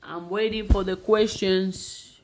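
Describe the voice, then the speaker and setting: A woman leads a live stream with slow, repeated affirmations, keeping each phrase deliberate and unhurried. She calls viewers to come on and ask questions, pitched in a middling range. Her voice rings in a roomy, echoing space. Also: slight background noise. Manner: repetitive chanting affirmations; bursts into excited cheering. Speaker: motivational live-stream host.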